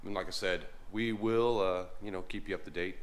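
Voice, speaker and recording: Deep-voiced man touring his garage shop. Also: a little fast. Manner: relaxed, plainspoken, many fillers and restarts. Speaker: lawn care business owner. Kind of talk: casual walkthrough vlog. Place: large unfinished garage workshop, indoors. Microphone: handheld camera at arm's length.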